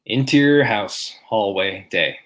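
A man reading stage directions in plain, even narration at a table read on a video call. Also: on the low side, takes it slowly.

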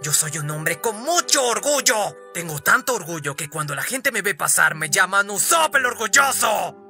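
A woman's voice: medium pitch, very animated, moderate pace, creaky, bright, forceful tone, faint music behind, heavily acted. A woman voicing a boastful but cowardly young male pirate in an anime fandub, theatrical and easily panicked.

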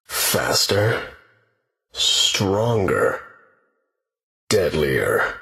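A stern, deadly ninja from a video game, speaks very slowly in a low, gravelly voice. He is proud, solemn and terse, his dramatic words ringing with echo. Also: big pitch swings, bright, forceful tone, full character voice. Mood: solemn, menacing. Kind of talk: video game hero battle lines. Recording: echoing game voice clips with long reverb.

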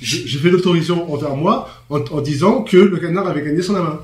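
A man with a hoarse, cold-roughened voice, speaking as a panel guest and restarting his sentences often. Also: fairly high pitch. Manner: halting, many restarts. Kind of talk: casual studio discussion. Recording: echoey room, faint background noise.

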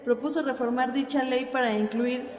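A woman's voice: high voice, fairly even intonation, normal speed, close to an everyday voice. A woman playing an engaged female university party member in a radio drama, speaking formally and articulately. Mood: questioning. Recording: echoey hall.

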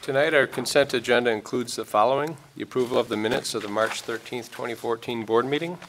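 A man's voice: mid-range voice, fast, a bit bright. An American man formally running board meeting procedure as the chair. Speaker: village board chair, mayor. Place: indoor village board meeting room. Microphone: desk microphone on the dais. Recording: clear background noise in meeting room.

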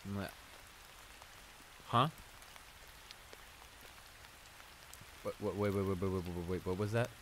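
A man's voice: deep voice, normal speed, lightly acted. A man playing a shy, flustered school bully in an audio roleplay, stammering and hesitant as he apologizes and gets embarrassed. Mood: disbelieving. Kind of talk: intimate improvised audio roleplay acting. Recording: rain noise behind the voice. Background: steady rain.

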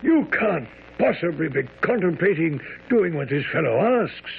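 High creaky gent, earnest and flustered, old thin radio sound. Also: male voice, sing-song, normal speed, heavily acted. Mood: alarmed. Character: earnest, proper British gentleman, detective's worried friend. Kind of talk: radio drama acting. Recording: narrow-band old radio broadcast, faint noise.

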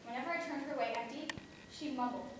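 An actress playing a frightened but defiant eighteen-year-old servant girl, with halting repeats and old-fashioned rustic speech. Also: high voice, lightly acted. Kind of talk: stage play performance. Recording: echoey, far-off stage audio with background noise.

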